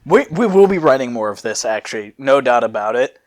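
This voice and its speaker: A young man narrating fan fiction as host, casual and sweary with animated asides. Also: medium pitch, a little fast.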